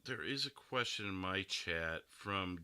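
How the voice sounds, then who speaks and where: Male streamer-host, deep pitch with a sharp, forceful edge, thinking aloud in relaxed chat, dry sound. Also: unhurried, smooth, no room sound. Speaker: podcast host and game streamer. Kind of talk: casual podcast chat.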